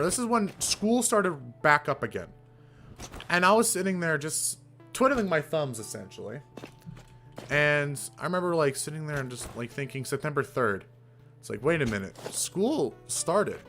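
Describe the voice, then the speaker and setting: A young man streamer chatting casually, rambling with fillers and casual swearing, with a fairly deep voice. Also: sharp tone. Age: young adult. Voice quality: somewhat deep voice. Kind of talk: casual live-stream chat.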